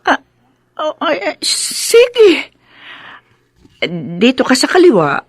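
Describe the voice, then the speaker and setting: A woman's voice: sits high, huge pitch swings, takes it slowly, no echo, studio quality, heavily acted. A woman playing a caring wife and mother in a radio drama, with a Filipino accent and a familiar, questioning manner. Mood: puzzled, caring. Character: caring, slightly surprised wife and mother at bedtime. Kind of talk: Tagalog radio drama acting.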